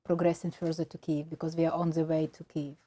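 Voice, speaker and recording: Low, mellow Ukrainian-accented woman. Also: little pitch movement. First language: Russian. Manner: halting, many fillers and restarts. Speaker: film producer and guest. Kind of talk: interview answers.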